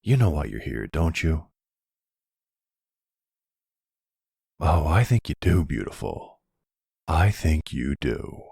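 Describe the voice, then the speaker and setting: Casting: male actor as middle-aged mob boss for intimate audio roleplay, extremely low and husky, smooth talker with menace and courtly charm. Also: darkish tone, dry recording, studio quality, exaggerated voice. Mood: menacing, probing. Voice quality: gravelly. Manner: smooth-talking, folksy tough-guy drawl of endearments and slang. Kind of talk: intimate ASMR boyfriend roleplay.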